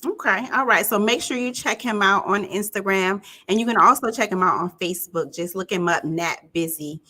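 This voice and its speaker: Woman, 40s, relationship coach host; upbeat, laughing, bright tone. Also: mid-range voice, normal speed. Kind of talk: casual live-stream chat.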